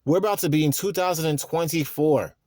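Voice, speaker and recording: A man's voice: fairly high pitch, darkish tone, no room sound, clean studio sound. Weary thirty-year-old man rambling. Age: 30s. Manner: rambling, halting restarts. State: tired and worn out. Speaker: vlogger. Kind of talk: reflective personal monologue.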